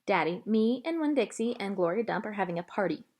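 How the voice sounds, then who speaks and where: An earnest young girl from a children's storybook, acted by a woman, wistful yet eager. Her speech is expressive and pleading, swinging widely in pitch. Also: a little fast, close to an everyday voice. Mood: polite, excited. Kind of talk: children's audiobook character voice.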